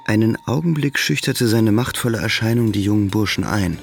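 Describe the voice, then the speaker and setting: An actor playing the sober third-person narrator of a radio drama, reading scripted storytelling. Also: male voice, on the low side, fairly quick, creaky, breathy edge. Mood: sober, narrating.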